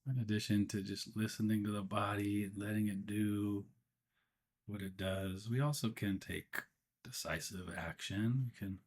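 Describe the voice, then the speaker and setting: A man leading a guided meditation as the guide, speaking gently and without hurry, often pausing mid-sentence. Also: on the low side, steady tone.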